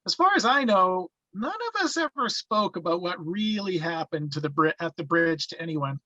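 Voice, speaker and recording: A man's voice: high voice, expressive, normal speed, bright, forceful tone, no echo, muffled recording, exaggerated voice. A man playing a wry narrator who recalls boyhood in first person, reading from a script.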